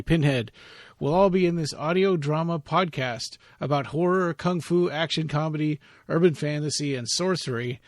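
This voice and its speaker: A man's voice: a little high, no room sound, studio quality. A man hosting a podcast, giving an informal, enthusiastic announcement to listeners. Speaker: podcast host and editor.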